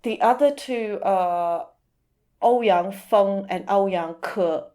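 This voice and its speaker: A woman talking as a literary translator in an interview, explaining thoughtfully in halting phrases with some repeated words. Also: medium pitch, warm tone.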